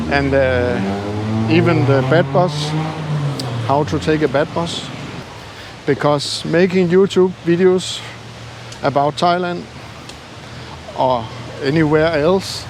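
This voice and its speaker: Older male travel vlogger, non-native English accent, slightly high voice, unhurried halting delivery, casual and modest, recorded outside. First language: not English. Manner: halting, self-deprecating. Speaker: travel YouTuber. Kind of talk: casual vlog talk to camera. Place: outdoors. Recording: noisy outdoor street ambience with traffic.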